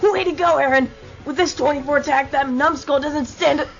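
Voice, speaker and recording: A woman voicing a brash young bully dog monster in an animated comic dub. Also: sits high, brisk. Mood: bossy. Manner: sneering, brash. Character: cocky young bully dog monster who turns cowardly. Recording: muffled lo-fi audio under music.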